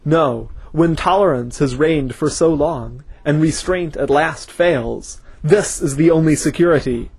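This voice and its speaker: A grim, intense scholar, speaking in a husky, fairly high voice with a brooding edge, as a male narrator voices him. His lines carry a steady, serious weight. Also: unhurried, few pauses, dry recording, exaggerated voice.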